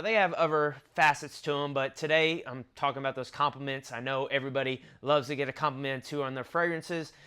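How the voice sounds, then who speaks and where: A man's voice: a little high, clean tone. A young man in his twenties with a stuffy, nasal voice, chatting casually through a fragrance review. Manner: chatty, conversational, lists notes in a relaxed flow. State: nasal from allergies.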